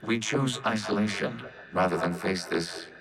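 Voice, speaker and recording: A man voicing a calm, logical robot in a video game, speaking formally and precisely through a synthetic filter. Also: on the low side, vocal fry, a crowd in the background. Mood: matter-of-fact. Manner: measured, formal, precise, clinical. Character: calm, logical male robot of a networked AI race. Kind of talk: video game character acting.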